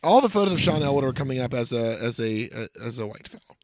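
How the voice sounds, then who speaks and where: A man's voice: medium pitch, lively intonation, fast talker, darkish tone, no room sound. A man co-hosting a comedy podcast, bantering and giving dramatic readings of a screenplay in a bossy, sarcastic, mock-earnest way. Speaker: podcast co-host.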